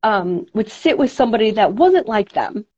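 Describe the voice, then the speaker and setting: A woman's voice: mid-range voice, very animated, moderate pace, darkish tone, highs cut off. A woman with a New York accent hosting a podcast, chatting casually with lots of fillers and restarts over a video call. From United States. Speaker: podcast host. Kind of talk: casual chat.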